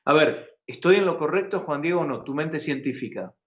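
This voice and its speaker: A man's voice: a little high, fairly quick, echoey room. A man from Argentina with an Argentine accent, hosting a live news chat and talking with emphasis. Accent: Argentine Spanish. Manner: animated, emphatic, asks co-host questions. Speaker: news host. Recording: muffled broadcast audio.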